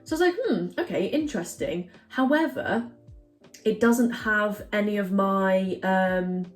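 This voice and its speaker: A woman's voice: mid-range voice, measured pace, soft background music. A young woman vlogging in her home kitchen, chatting casually and rambling with lots of fillers. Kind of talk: casual chat to camera.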